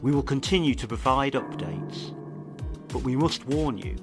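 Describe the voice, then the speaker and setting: A man's voice: mid-range voice, moderate pace. A man presenting a scripted investigative mystery podcast, narrating in a serious, ominous manner. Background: faint music.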